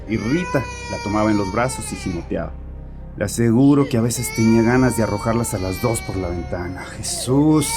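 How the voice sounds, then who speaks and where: A man's voice: lowish voice, close to an everyday voice. An actor playing a bitter, harsh, troubled father confessing to a therapist in an agitated, defensive way in a horror audio drama. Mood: resentful. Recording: voice over background music.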